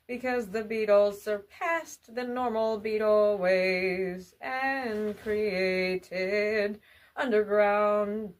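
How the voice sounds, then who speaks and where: A woman telling a children's bedtime story in a drawn-out, singsong delivery. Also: a little high.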